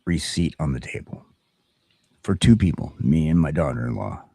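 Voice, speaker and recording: A man's voice: rumbling low, sing-song, moderate pace, gravelly, warm tone, slightly rough, no echo. A middle-aged American man telling stories in casual chat, with a blunt, folksy, sweary manner. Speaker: talk show host.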